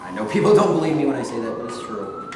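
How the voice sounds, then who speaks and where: A man's voice: medium pitch, wildly swooping pitch, quick pace. A man playing a weary young former basketball player searching for his identity in a staged reading of a play. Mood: dejected, pleading. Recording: very echoey hall, faint noise.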